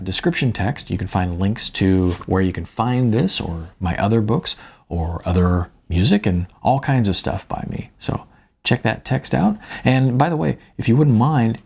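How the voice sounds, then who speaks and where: A man's voice: lowish voice, gravelly, a little mellow, slightly rough. A man reading his own novel aloud in a wry, conversational storytelling manner. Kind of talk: audiobook narration with spoken asides.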